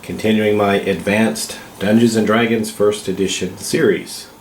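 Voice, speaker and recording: Slow, congested man in an echoey room. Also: fairly low pitch, fairly even intonation, a little hiss or hum. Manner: slow, hesitant, with many fillers. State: congested from a cold. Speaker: vlog host. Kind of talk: casual explanatory vlog.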